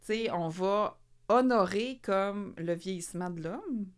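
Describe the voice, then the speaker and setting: A woman in her late thirties with a Québécois accent, chatting casually as a podcast co-host, animated and colloquial, laughing easily. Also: mid-range voice, takes it slowly, smooth. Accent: Québécois French. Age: 30s. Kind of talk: casual chat.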